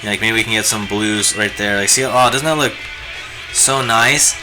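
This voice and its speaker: A hoarse, raspy man chatting casually and rambling with lots of fillers while he draws on a live stream. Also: on the low side, normal speed, rough recording. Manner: laid-back, rambling, many fillers. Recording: music playing behind the voice. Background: guitar music.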